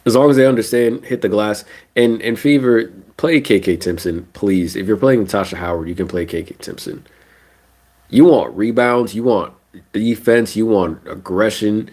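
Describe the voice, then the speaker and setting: A man's voice: lowish voice, normal speed. A young man with a stuffy, nasal voice from a cold, ranting casually about basketball on a live stream. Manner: animated ranting, casual slang. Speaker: sports streamer.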